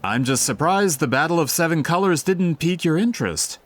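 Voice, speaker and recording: Guy doing a polite scheming gentleman, lightish high male voice, very theatrical and flattering, video game acting. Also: brisk, natural voice. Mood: persuasive, flattering. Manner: polished, flattering, theatrical, formal. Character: suave, scheming gentleman employer and collector, falsely courteous.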